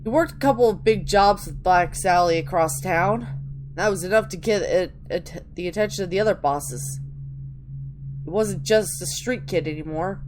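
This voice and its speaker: Woman as a rough, chatty street gangster, noisy recording. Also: on the low side, normal speed, a little mellow, close to an everyday voice. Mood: gruff reminiscing. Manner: colloquial street storyteller. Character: rough, chatty street gang member reminiscing about old days. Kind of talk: dramatic reading of video game lore.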